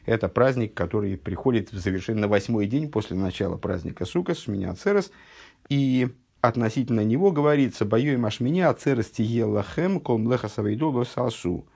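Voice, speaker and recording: A man teaching a text study lesson, reading source passages slowly and then explaining them, just recovered from an illness. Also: mid-range voice, expressive, vocal fry, mellow, breathy edge. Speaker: religious teacher.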